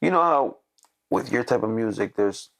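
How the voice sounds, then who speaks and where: A young male host leads a casual studio interview in a low, gravelly voice full of vocal fry. He talks easygoing and slangy, circling around his questions with lots of filler words. His tone stays loose and conversational. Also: expressive, normal speed, a bit bright.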